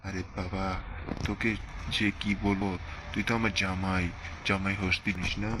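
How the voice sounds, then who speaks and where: A man acts out a sly old rascal of an uncle in a comic cartoon. His voice is very deep and stays flat as he grumbles and wheedles. Noticeable noise sits in the recording. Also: moderate pace, lightly acted. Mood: wheedling. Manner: wheedling, self-justifying, grumbling. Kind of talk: comedy cartoon dialogue. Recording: clearly noisy background.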